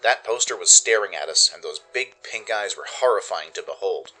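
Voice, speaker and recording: A man giving an expressive, theatrical scripted narration as the narrator of a radio play. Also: low-pitched, poor audio.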